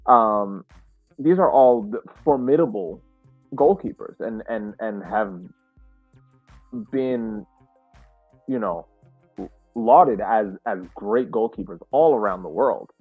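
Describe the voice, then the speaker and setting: A man hosting a casual soccer podcast discussion, speaking haltingly and repeating words as he reflects. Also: lowish voice, expressive, breathy edge, faint music behind. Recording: muffled, roomy sound.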